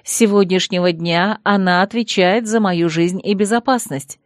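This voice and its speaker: A woman narrating a first-person fantasy novel in a measured, composed way. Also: medium pitch, no echo, studio quality. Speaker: audiobook narrator.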